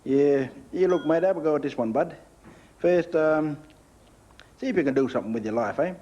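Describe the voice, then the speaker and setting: A man's voice: a little high, normal speed, warm tone, lo-fi sound, natural voice. A man playing a patronising, cocky job office official in a satirical radio play, matey and slangy.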